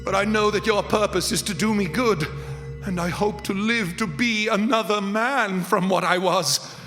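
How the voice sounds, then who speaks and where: A man acts out a haunted old miser in a radio play. He speaks slowly and solemnly, pleading in a high voice. Music plays behind him in a reverberant hall. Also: natural voice. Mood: fearful, solemn. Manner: slow, solemn, pleading delivery. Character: frightened, repentant old miser facing a ghost. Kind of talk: live radio theater acting.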